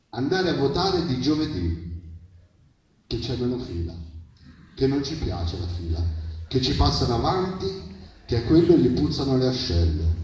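A man's voice: mid-range voice, a little mellow. A man performing satirical spoken-word poetry live, declaiming in short, insistent, repeated phrases. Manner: satirical, mimicking common bigoted remarks. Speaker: poet performing at a public reading. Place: live poetry reading at an outdoor festival. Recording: very echoey, picked up from far off.

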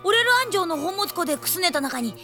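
A high-voiced, cheeky young thief. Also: expressive, quick pace, pushed and bright, faint music behind, exaggerated voice. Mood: urgent, curious. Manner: slangy junior-sidekick speech. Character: young, cheeky underling thief, casual and eager. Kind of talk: Japanese radio drama acting.

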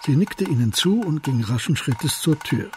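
An actor as the narrator of a radio crime drama, reading literary description in a measured, composed manner. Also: male voice, fairly high pitch, lively intonation, slight background noise, natural voice. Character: composed literary storyteller of a detective tale.

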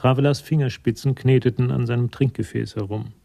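A man narrating a radio crime drama as a detached storyteller, measured and matter-of-fact. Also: mid-range voice, fairly even intonation, gravelly, mellow.

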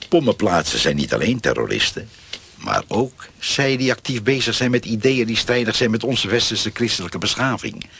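An actor playing a pious, unctuous would-be priest in a radio play, preachy and falsely soothing. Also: male voice, fairly bright tone, a little hiss or hum, heavily acted. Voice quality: very deep, creaky. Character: sanctimonious pious lay priest figure visiting a tortured prisoner.

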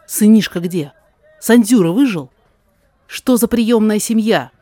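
A woman's voice: medium pitch, unhurried, warm tone, no room sound, pitched above the performer's own voice, lightly acted. A woman voicing a blunt, insistent younger man in a rhymed audio drama, pressing impatiently with questions and orders. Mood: urgent. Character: impatient, forthright younger man, sorcerer's companion.

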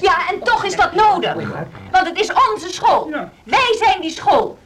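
A principled, stubborn woman teacher in a radio drama. She has a high voice and speaks insistently, with formal earnestness, as if making her case to a meeting. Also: sing-song, unhurried, crowd noise, exaggerated voice. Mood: passionate, insistent.

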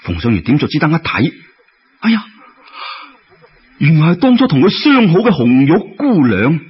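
Male Cantonese storyteller: animated, colloquial delivery, slightly rough voice, lo-fi radio-like sound. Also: on the high side, normal speed. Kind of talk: dramatic Cantonese-style folk tale storytelling.

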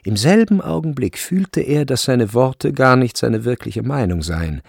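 A man with a husky, gravelly voice narrating a literary radio drama from a script. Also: mid-range voice, expressive, a little slow, darkish tone, no echo, clean studio sound. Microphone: close, dry studio recording.

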